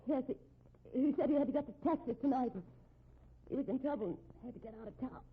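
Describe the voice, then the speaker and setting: Anxious young-sounding wife, halting and stammering, high and breathy, on a phone-thin old radio recording. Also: female voice. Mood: shaken, halting. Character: shaken married woman, injured, hesitant and anxious. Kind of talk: 1950s radio drama acting. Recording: tinny 1950s radio recording.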